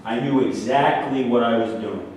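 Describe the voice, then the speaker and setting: An actor in a staged play reading, playing a delusional, defensive murder defendant who speaks earnestly and insistently, repeating words haltingly. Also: male voice, mid-range voice, darkish tone, natural voice. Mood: defiant. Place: staged reading in an echoey room. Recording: echoey room, distant mic, faint noise.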